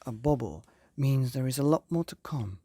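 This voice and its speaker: A man hosting and narrating a children's bedtime story in a theatrical way, with drawn-out emphasis and hushed phrases. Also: medium pitch, lively intonation, no echo, studio quality. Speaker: podcast host and storyteller.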